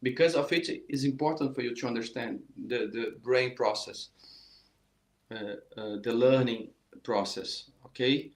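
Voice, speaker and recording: A man's voice: medium pitch, measured pace, roomy sound. A middle-aged Brazilian man with a Portuguese accent, teaching a saxophone lesson in earnest, repetitive, restarting English. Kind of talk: informal online music lesson.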